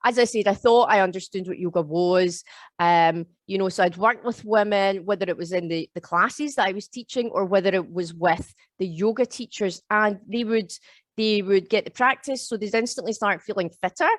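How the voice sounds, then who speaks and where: A woman's voice: mid-range voice, moderate pace, no echo, studio quality. A woman in her thirties with a Glasgow accent, leading a live online yoga teacher training and checking in often with her students. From Scotland. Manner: chatty, keeps checking in with "okay?" and "all right?". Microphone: video call.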